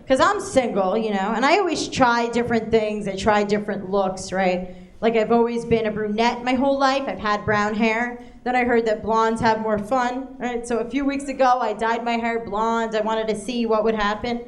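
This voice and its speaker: A woman's voice: a little high, moderate pace. A woman performing a stand-up comedy set, chatty and often checking in with the audience. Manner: chatty, asks the crowd "right?".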